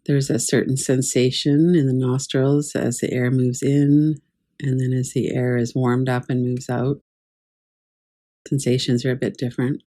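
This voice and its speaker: A woman teaching guided meditation in a calm, measured, gently instructive way. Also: extremely low pitch. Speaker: yoga nidra meditation teacher.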